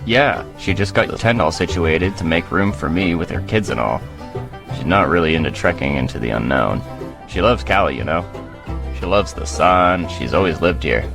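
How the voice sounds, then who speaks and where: A man's voice: sits low, lively intonation, normal speed, creaky, over music. A man playing a breezy, slangy camper in an audio drama, talking very casually. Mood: casual, reluctant. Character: Greg, breezy slangy young male camper.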